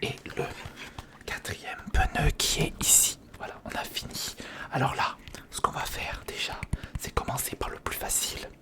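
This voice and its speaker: An ASMR creator narrating an unboxing in a whisper, speaking informally and intimately to the viewer. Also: normal speed. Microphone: sensitive recorder microphone, close.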